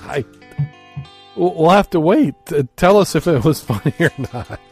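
A man's voice: fairly high pitch, animated, normal speed, gravelly, a little mellow, slightly rough, soft background music. Easygoing male podcast host. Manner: easygoing, chuckles, self-doubting. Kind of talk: casual chat, reading a joke aloud.

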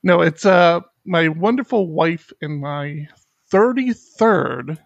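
A middle-aged man hosts a podcast, chatting candidly and haltingly, with a slight speech impediment and frequent restarts. His voice sits a little high, and the recording is dry and close, with no room sound. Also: animated, creaky, no echo, muffled recording. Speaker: podcast host. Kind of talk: casual chat.

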